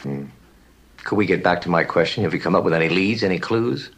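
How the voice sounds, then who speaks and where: An actor playing a smug, impatient mystery writer in a TV drama, suave and condescending in every remark. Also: male voice, deep voice, fairly quick, fairly bright tone, slightly rough, exaggerated voice. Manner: suave, superior, pointed. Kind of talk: television mystery drama acting.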